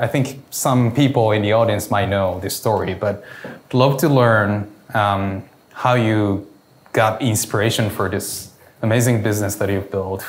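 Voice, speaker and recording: Male moderator, 40s, low voice, prepared and upbeat, echoey hall with faint background hum. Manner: prepared, quoting figures, upbeat. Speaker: moderator, venture investor. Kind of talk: moderating an onstage interview. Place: event hall with audience. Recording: roomy hall sound.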